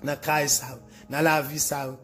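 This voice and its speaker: Haitian man praying, higher-pitched, over music. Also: little pitch movement, moderate pace, sharp tone. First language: Haitian Creole. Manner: repetitive, incantatory phrasing. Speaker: preacher. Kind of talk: bedtime deliverance prayer, declarative.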